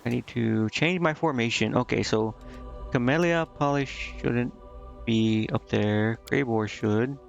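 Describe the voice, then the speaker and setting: A man's voice: mid-range voice, a little slow, vocal fry, lo-fi sound. A man giving casual gameplay commentary, indecisive and muttering to himself as he streams. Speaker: game streamer. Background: game music.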